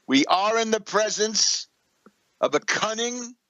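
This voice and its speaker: Older man, higher-pitched, declaiming. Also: lively intonation, slow pace, sharp tone, dry recording, poor audio. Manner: emphatic, declamatory, scornful. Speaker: radio show host. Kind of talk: political commentary monologue.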